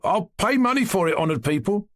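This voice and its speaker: A man reading aloud voices a young male servant who talks gently but boasts about his master. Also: high-pitched, animated, a little fast, voice pushed up. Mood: eager. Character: young servant man, cheerful and self-important.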